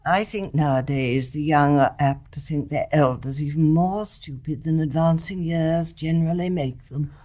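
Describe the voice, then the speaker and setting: An older woman with an English accent playing a wise, composed, forgiving mother-in-law in a radio drama, measured and frank. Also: low-pitched, lightly acted. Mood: calm, wry. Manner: measured, articulate, gently frank. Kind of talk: stage-play radio drama acting.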